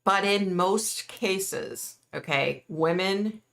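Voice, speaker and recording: A middle-aged woman with a deep voice, hosting a live stream and chatting bluntly with viewers, ranting and opinionated. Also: drawn-out words, vocal fry, a bit bright. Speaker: psychic livestream host. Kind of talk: casual live chat answering viewers.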